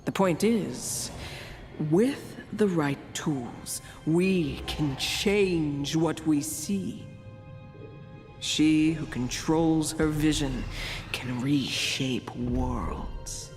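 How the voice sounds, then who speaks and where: An actress playing a wistful, playful fortune-telling mystic in a video game, with a mysterious, portentous delivery that slips into chatty asides. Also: female voice, sits low, drawn-out words, a little mellow, faint music behind. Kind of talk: theatrical scripted game narration.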